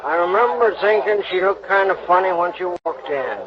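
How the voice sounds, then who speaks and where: A man playing a slow, vague working-class New York bar counterman in a 1950s radio drama, offhand and colloquial. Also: high-pitched, close to an everyday voice. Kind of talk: 1950s radio character acting. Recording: dull radio recording, busy crowd noise.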